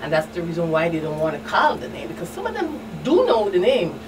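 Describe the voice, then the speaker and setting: Low-pitched woman, noisy recording. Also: huge pitch swings, fast. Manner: earnest, repetitive, often adds "you understand". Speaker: community outreach specialist, guest expert. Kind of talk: interview answers, conversational. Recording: distant mic, clear background noise.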